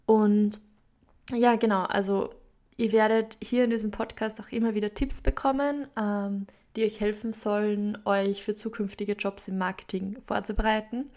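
A young woman with an Upper Austrian accent talks through her podcast in a loose, chatty way. Her pitch stays fairly level, and she fills pauses with small hesitations and drops in English words. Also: fairly even intonation, darkish tone. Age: 20s. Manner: chatty, many fillers, mixes in English loanwords. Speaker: podcast host. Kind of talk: casual solo podcast talk.

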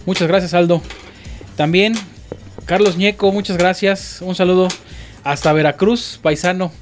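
A man chats on a radio show in a relaxed, halting way, with plenty of fillers. His voice sits a little high for a man. Faint music plays underneath. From Mexico. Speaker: musician guest. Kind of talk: casual radio chat.

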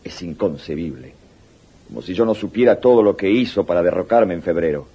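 A muffled old radio drama tape with a commanding South American dictator. His voice is very deep and dark, and he speaks deliberately, with suspicion and scorn. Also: expressive, husky, heavily acted. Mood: indignant, scornful. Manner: imperious, deliberate. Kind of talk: 1980s radio drama acting.

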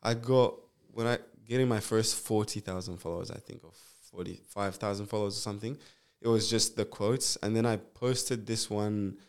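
A young man from Zambia, a nurse and musician, chatting in a relaxed, rambling way as an interview guest, with lots of fillers and restarts. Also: lowish voice, normal speed, clear, resonant tone. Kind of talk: casual interview chat.

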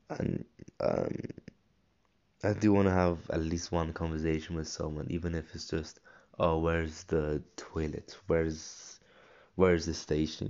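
Young guy with a cold, croaky, deep and pretty monotone, lots of ums, kinda muffled lo-fi audio. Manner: rambling, self-correcting, frequent ums and restarts. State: croaky voice from a cold, coughing and clearing throat. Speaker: vlogger. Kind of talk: casual personal audio diary. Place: at home. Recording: dull lo-fi recording.

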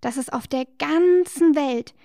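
Woman, children's co-host, cheerful explainer, slightly high pitch, dry sound. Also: a little slow, vocal fry, no room sound. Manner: bright, explaining, gently teasing. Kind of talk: scripted children's educational dialogue.

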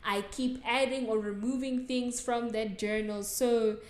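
A woman's voice: a little high, fairly even intonation, unhurried, darkish tone. Giggly South African woman podcaster, echoey. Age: young adult. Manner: chatty, giggly, with many likes and ums. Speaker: podcast host. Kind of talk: solo podcast answering listener assumptions. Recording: echoey room.

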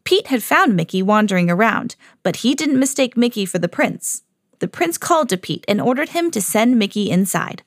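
Woman reading a children's storybook aloud, higher voice, dry close sound, lively delivery. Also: normal speed, no echo. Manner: lively read-aloud, jumps high for quoted shouts. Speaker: mom reading to her kids, podcast host.

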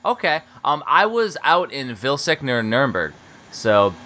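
A man's voice: mid-range voice, big pitch swings, unhurried, sharp tone. An American man in his thirties hosting a casual interview, rambling and laid-back with lots of fillers and laughs. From United States. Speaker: podcast host and book publisher.

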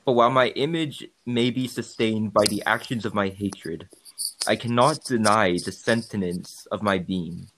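A man's voice: on the low side, a little slow, noisy background, natural voice. A man voicing a bitter, grieving creature in a formal, eloquent dramatic reading of its last testament. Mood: wounded, pleading. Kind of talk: dramatic reading of a written account.

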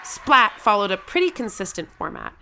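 A woman's voice: mid-range voice, very animated, moderate pace, vocal fry, pushed and bright, slight background noise, exaggerated voice. A woman playing an eager, competitive thirteen-year-old girl who tells her own story in an audio drama. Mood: eager storytelling. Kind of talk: first-person audio drama narration.

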